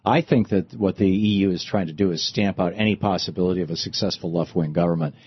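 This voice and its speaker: Low husky talk radio host, dry. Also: male voice, fast talker, muffled recording. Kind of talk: political talk radio chat.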